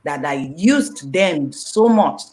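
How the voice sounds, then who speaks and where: A woman whose first language is Yoruba, answering questions as an author at a book launch, animated and laughing, with many fillers. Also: low-pitched, takes it slowly. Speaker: author being interviewed.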